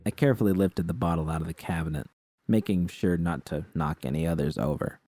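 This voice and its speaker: Low-pitched male story narrator, sharp and dry. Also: fairly quick, no room sound. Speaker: narrator reading fan fiction. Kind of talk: first-person scripted narration with acted dialogue.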